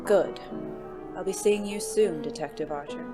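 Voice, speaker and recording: A silky, commanding male mob heir voiced by a woman in a noir audio drama. The voice is lowish and taunting, with clear music playing behind it. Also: moderate pace, natural voice. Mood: imperious. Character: smug, commanding mob heir, the boss's favorite nephew.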